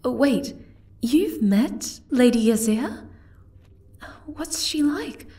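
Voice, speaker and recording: Woman as shy moth girl, caring, soft-spoken, stammering, rather high pitch, unhurried delivery, ASMR roleplay. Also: big pitch swings, heavily acted. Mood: warm, curious. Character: gentle, timid, caring young moth girl monster.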